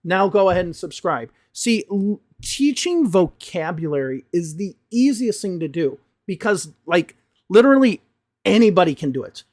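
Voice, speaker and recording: Man, slightly high voice, English teacher, emphatic reaction commentary, dry close sound. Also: moderate pace, dry recording. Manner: emphatic, animated explaining.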